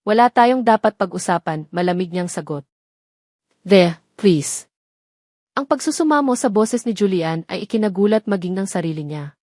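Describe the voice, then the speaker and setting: A woman narrates a dramatic story from a script. Her voice sits at a middle pitch for a woman. The recording is dry, with hardly any room sound. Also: no room sound.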